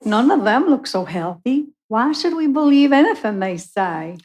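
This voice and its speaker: A woman's voice: fairly high pitch, very animated, darkish tone. A woman playing a griping, suspicious patient in a live radio play. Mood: complaining. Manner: drawn-out, griping. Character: bitter, suspicious, complaining patient.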